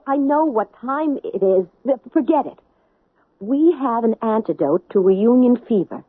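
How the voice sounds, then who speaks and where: An actress playing a brisk chief health officer, speaking in an urgent, clipped, authoritative way. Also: female voice, on the high side, slightly rough, dry recording, exaggerated voice. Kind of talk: 1970s radio drama acting. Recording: muffled old radio broadcast.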